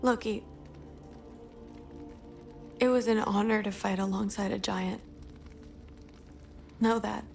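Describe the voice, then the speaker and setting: A brash young shield maiden. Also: female voice, mid-range voice, steady tone, slow, gravelly, long pauses, darkish tone, soft background music. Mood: breezy. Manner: breezy, cheeky. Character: young warrior woman, brash and playful.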